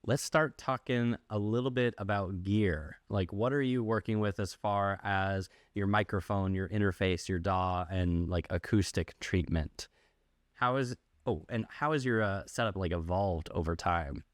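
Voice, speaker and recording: A man's voice: deep voice, unhurried, no room sound, clean studio sound. A man hosting a casual interview, asking questions and summing up in a conversational way. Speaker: podcast host.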